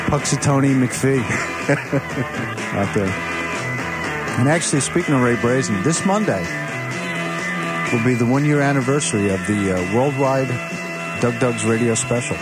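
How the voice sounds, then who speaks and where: A man's voice: mid-range voice, a little slow, long pauses, over loud music, poor audio. The guy on a weekend rock radio show, cracking puns and trading trivia from the studio as records play behind him. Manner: joking, with puns and rambling asides. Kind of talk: casual music trivia banter.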